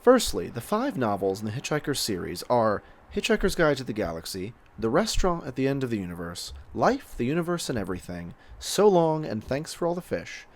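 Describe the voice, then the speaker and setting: Lively male narrator with swooping intonation. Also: mid-range voice. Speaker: narrator of explainer segments. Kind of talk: scripted narration.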